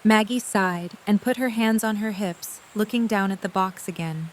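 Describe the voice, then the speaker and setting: A woman narrating a fan audio drama in a calm, even storytelling tone. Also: mid-range voice, normal speed, slight background noise. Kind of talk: scripted narration.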